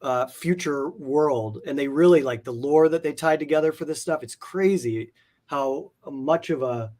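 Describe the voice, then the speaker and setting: A man's voice: a little high, measured pace, darkish tone. A man chatting casually and enthusiastically as an interview guest, rambling and peppering his talk with "like". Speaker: interview guest, comic art collector. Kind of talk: casual enthusiastic chat about comic art.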